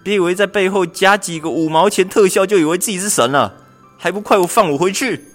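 A young man plays a cheeky, lazy clerk of ancient China, fretful and exclamatory, with a fairly high male voice. It is amateur radio drama with music underneath. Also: fast, a bit bright. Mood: indignant. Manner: exclamatory, pleading. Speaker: student voice actor in a radio drama.

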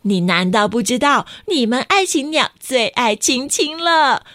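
A woman's voice: high-pitched, normal speed, a bit bright, no room sound, studio quality, pitched above the performer's own voice. A woman voicing a chatty, gushing female blue bird auntie who exclaims and laughs a lot while teasing. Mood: laughing teasing.

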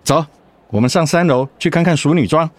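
A man's voice: fairly high pitch, brisk, no room sound, natural voice. An actor playing a cheerful, doting middle-aged husband and father in a radio drama, playful and encouraging. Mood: upbeat, encouraging.

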